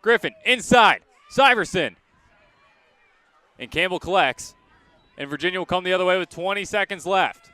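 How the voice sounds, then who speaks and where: Bright, high-pitched young male sports commentator. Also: animated, moderate pace, long pauses, clear, resonant tone, no echo. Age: young adult. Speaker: student play-by-play commentator. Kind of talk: live radio lacrosse commentary.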